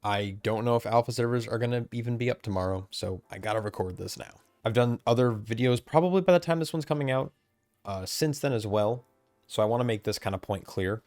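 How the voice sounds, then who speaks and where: A man's voice: medium pitch, a little fast, darkish tone, dry recording, studio quality. A man losing his voice, talking casually about game changes late at night, rambling and correcting himself as he goes. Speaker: game content creator. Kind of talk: recorded commentary on game changes. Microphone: desk mic, camera off.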